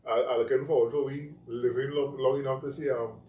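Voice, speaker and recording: A man's voice: mid-range voice, fairly even intonation, quick pace, dark tone. A man with a Trinidadian accent chatting as a talk show guest, telling stories animatedly in Creole phrasing. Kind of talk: casual chat. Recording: echoey room, mic at a distance, faint noise.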